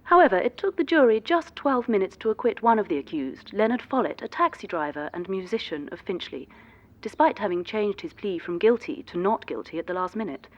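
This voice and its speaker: Radio play newsreader played by a woman: formal, animated intonation, muffled lo-fi broadcast sound. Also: medium pitch, brisk. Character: female news reporter, formal court report. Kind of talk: scripted news report in radio play.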